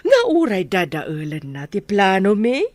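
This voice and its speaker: Haughty Filipino villainess, wildly swooping voice. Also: female voice, medium pitch, big pitch swings, a little slow, darkish tone, no echo. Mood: haughty, threatening. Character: haughty scheming wealthy lady, threatening. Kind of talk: radio drama acting.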